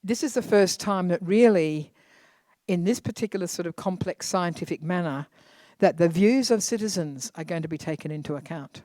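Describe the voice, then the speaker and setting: A facilitator calmly briefing a group, speaking in an instructive way. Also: mid-range voice, moderate pace, dry recording. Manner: calm, instructive.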